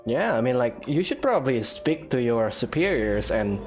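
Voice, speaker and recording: A woman's voice: a bass voice, big pitch swings, moderate pace. A woman giving casual, offhand let's play commentary as host of a gaming video, a little hesitant. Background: game music.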